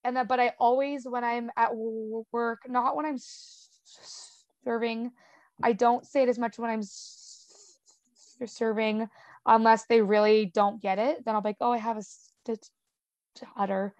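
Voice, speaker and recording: A woman's voice: fairly high pitch, flat delivery, a little slow, darkish tone, dry recording. A young woman with a stutter, talking casually as an interview guest, with sound repetitions, blocks and lots of "um" and "like".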